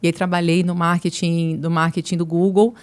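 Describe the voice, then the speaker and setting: A woman in her forties from Niterói, with a Rio de Janeiro accent softened by years in São Paulo, talking casually as an interview guest and acting out bits of dialogue as she tells stories. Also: lowish voice, moderate pace, smooth. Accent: Rio de Janeiro Portuguese, softened by years in São Paulo. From Niterói, Brazil. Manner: animated storyteller who acts out quoted dialogue. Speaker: guest, marketing executive.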